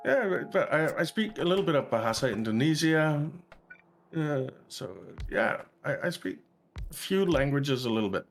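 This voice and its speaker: A Danish man chatting casually with a friend while playing a game together, relaxed and hesitant, with lots of fillers. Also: on the high side, normal speed, faint music behind. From Denmark. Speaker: co-op gameplay commentator. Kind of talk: casual gaming chat.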